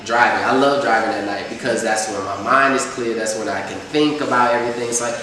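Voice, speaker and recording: A man's voice: medium pitch, fast, bright, forceful tone, far from the mic. Young atlanta guy rambling about his music, super echoey room, noisy. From Atlanta, USA. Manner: loose, rambling, heavy use of filler phrases. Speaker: rapper, interview guest. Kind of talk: casual interview chat.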